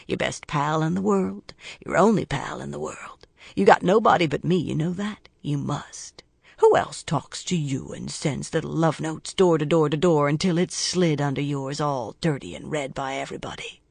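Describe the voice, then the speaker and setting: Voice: medium pitch, normal speed, creaky, no echo, studio quality. A narrator voicing a menacing male death-row killer who taunts in a crude, mocking singsong and sneering whispers. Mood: taunting.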